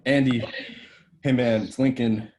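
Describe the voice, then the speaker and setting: A man's voice: mid-range voice, steady tone, unhurried, a little mellow, a little hiss or hum, close to an everyday voice. An actor reading a volatile, washed-up young male TV star in a table read, profane, ranting and bitter. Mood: pleading.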